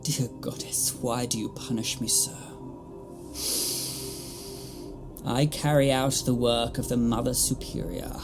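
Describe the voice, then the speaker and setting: An actress playing a stern, sanctimonious alien nun in a sci-fi audio drama, with a commanding manner. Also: female voice, extremely low pitch, steady tone, normal speed, over music. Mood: pious, pleading. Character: stern, pious, domineering alien nun in charge of hospital.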